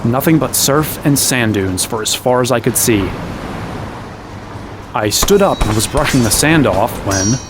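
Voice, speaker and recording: A man's voice: mid-range voice, animated, unhurried, noisy background, natural voice. An actor playing an exasperated, sarcastic young hero who narrates his own quest in a fantasy audio drama. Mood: narrating. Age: young adult. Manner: wry, exasperated. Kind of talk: first-person audio drama narration and dialogue.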